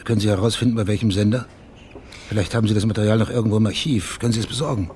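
Male private investigator, played by an actor, radio crime play: low register, plain-spoken, probing, background ambience audible. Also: racing, darkish tone, slight background noise, close to an everyday voice. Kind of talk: German radio crime drama acting.

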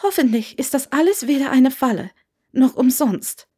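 Narrator lady doing a young witch, polite but pushy, curious, voice lifted pretty high. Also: animated, normal speed, dark tone, slightly rough. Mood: uneasy, hopeful. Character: curious, determined young witch, haggling firmly.

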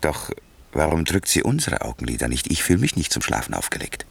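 An actor playing a king's doubtful, ambitious brother in a German radio verse drama, with a rough voice and a wry, probing, theatrical delivery. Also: rumbling low, huge pitch swings, fairly quick, no room sound, full character voice. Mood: wry, curious. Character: idle royal brother, doubtful, then greedy conspirator.

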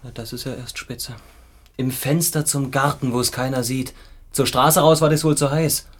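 A husky-voiced ex-prisoner played by a male actor in a radio drama, with an ordinary middle pitch. He is agitated and sardonic, repeating himself restlessly. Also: fairly even intonation, normal speed, natural voice. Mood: bitter, sardonic. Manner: restless, repetitive, erratic between outburst and mutter.

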